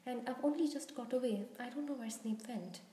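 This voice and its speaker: A woman's voice: fairly high pitch, a little fast, warm tone, close to an everyday voice. A woman voicing an anxious, loyal schoolgirl, emotional and emphatic, in a storybook reading. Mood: distressed. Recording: roomy, echoing sound.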